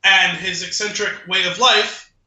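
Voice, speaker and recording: Man, very bright forceful voice, echoey room, podcast co-host, casual chat, swears freely. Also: high voice, steady tone, unhurried. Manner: casually profane storyteller.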